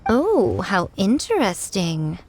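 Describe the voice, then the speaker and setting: An elegant fox shrine maiden from an anime-style game. She drawls with poise and sly amusement. Her mid-pitched voice moves expressively, teasing as it goes. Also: huge pitch swings, takes it slowly, darkish tone, exaggerated voice.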